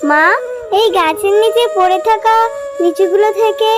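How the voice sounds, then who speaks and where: A woman's voice: very high voice, normal speed, music in the background. A woman voicing a sweet, innocent little girl jackal cub in a children's cartoon, coaxing and childlike. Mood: pleading, wistful.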